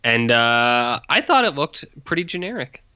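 A man's voice: mid-range voice, very animated, moderate pace, sharp tone, smooth, no echo, highs cut off. A man with a Canadian accent co-hosting a podcast in casual, rambling chat, teasing and asking questions. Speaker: podcast co-host.

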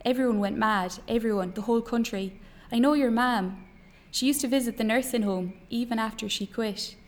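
A woman's voice: a little high, normal speed, natural voice. Woman reading as an insistent young Irish woman. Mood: earnest, chatty. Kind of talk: novel reading aloud.

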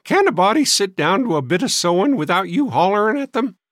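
A man voicing a sour, scornful old maiden sister in an audiobook, with a high, cracked voice. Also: very animated, a little fast, a bit bright, dry recording, studio quality. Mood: cranky, demanding. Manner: sniffing, scornful, scolding. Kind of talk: audiobook character voice.

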